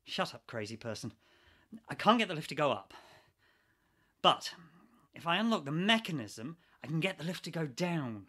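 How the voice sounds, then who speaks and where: Man acting sarcastic trapped researcher, higher male voice, bright, sweary, exasperated, radio drama. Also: very animated, moderate pace, long pauses, heavily acted. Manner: sardonic, sweary, argumentative.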